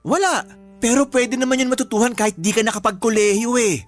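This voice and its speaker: A man's voice: very high voice, fairly quick. A man playing a sullen, stubborn twenty-year-old son who argues back in a radio drama. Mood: argumentative. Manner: whiny, argumentative.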